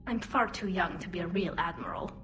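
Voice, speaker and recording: An actress playing a young, modest, war-weary alien admiral in a video game. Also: female voice, lowish voice, brisk, music in the background, lightly acted.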